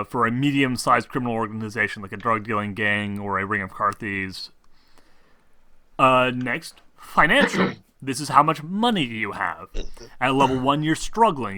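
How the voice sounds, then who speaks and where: Bright-voiced man running a tabletop game. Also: mid-range voice, no echo. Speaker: game master of a tabletop role-playing game. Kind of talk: explaining game rules casually to players.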